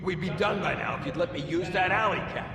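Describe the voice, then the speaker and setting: A man's voice: a little high, animated, quick pace, crowd noise. An actor playing an arrogant, sarcastic, impatient male scientist with a sneering, dismissive delivery in a video game audio log. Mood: contemptuous. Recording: echoey hall, distant, very noisy.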